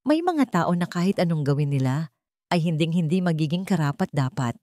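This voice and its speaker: A woman's voice: sits low, expressive, fairly quick, warm tone, no room sound. A wealthy older matriarch from a romance audio story, acted by a female narrator, haughty and scornful. Her sweetness carries open menace and contempt, captured in a dry, close recording. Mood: cold contempt. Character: haughty, venomous wealthy mother-in-law, older woman.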